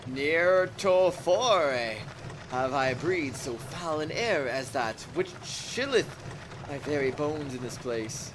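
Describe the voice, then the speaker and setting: A man's voice: a little high, animated, takes it slowly, very noisy, voice pushed up. A man voicing an uneasy, florid scholar from a visual novel game with theatrical old-fashioned speech. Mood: uneasy, dramatic. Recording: music behind the voice.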